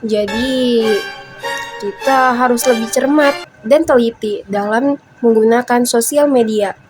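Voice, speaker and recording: Young woman, slightly high voice, casual intro. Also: slow, over music. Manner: relaxed, casual. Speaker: presenter.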